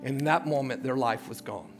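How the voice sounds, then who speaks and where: A male pastor preaching a sermon, swinging between loud shouts and hushed low passages and repeating words as he builds. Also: fairly quick, soft background music.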